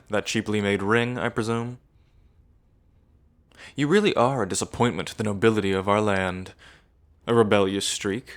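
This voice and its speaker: A man's voice: on the low side, fairly bright tone. A man playing a smug, menacing evil prince in an ASMR roleplay, mocking and condescending, drawling like a theatrical villain. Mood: scornful menace.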